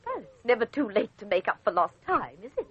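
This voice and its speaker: An actress playing a coquettish, excitable villainous secretary in an old Australian radio drama, shrill and melodramatic. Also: female voice, brisk. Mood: flirtatious. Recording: muffled lo-fi vintage radio recording.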